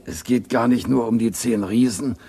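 An actor playing a cocky small-time burglar in a radio crime drama, talking in cheeky streetwise slang. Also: male voice, mid-range voice, moderate pace, vocal fry, dark tone, slightly rough.

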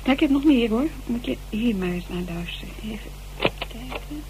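A woman's voice: on the high side, expressive, moderate pace. A woman playing an eager assistant investigator in a radio drama, speaking colloquially and emphatically. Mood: eager, pleased. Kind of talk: naturalistic Dutch radio drama dialogue. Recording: noisy radio-play recording.

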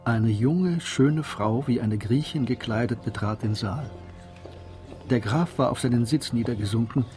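An actor as the sober, composed narrator of a literary radio drama. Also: male voice, medium pitch, measured pace, mellow, soft background music, close to an everyday voice. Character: sober male storyteller of a classic novel.

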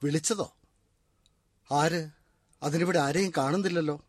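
A man's voice: fairly high pitch, unhurried, no echo, studio quality. An actor playing a puzzled, nervous man in a radio drama. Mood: bewildered. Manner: bewildered, nervous. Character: startled, puzzled man hearing a voice. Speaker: character in a radio play. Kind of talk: Malayalam radio drama acting.

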